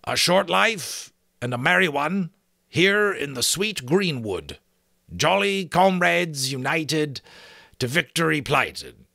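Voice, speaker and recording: Hot-tempered giant brigand, performed by a male reader: rustic, blustery, pushed up in pitch, dry close recording. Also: sing-song, a little slow, gravelly, bright, forceful tone, no room sound, exaggerated voice. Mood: muddled. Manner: blustering, old-fashioned rustic turns of speech.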